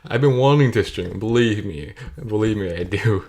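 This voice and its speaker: Gravelly young man, voice cracking. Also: fairly low pitch, moderate pace, a little mellow, a little hiss or hum. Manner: rambling, self-correcting, talks to himself. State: voice cracking, a bit off. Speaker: gamer streaming a play session. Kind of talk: casual thinking-aloud gameplay commentary.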